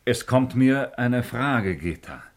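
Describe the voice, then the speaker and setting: An actor playing a dreamy, loyal, anxious courtier of ancient Rome in a 1950s German radio play, speaking in a hesitant, hushed and earnest way. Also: male voice, medium pitch, animated, takes it slowly. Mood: suspicious.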